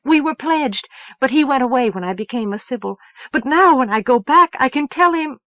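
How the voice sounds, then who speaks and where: A woman voicing a desperate young woman, loud and high. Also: lively intonation, normal speed, dry recording, dull audio. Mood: eager.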